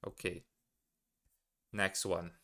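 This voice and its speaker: A man's voice: low-pitched, little pitch movement, extremely slow, pushed and bright. A man whose first language is Portuguese, thinking aloud as he studies a language live, hesitant and correcting himself as he goes. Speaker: language learner streaming live. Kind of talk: thinking aloud while studying.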